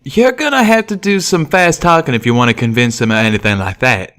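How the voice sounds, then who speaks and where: A man's voice: medium pitch, a little fast, a bit bright, lightly acted. A man voicing a brash male pony with a folksy, emphatic way of talking for an audiobook. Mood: advising. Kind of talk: audiobook character voice.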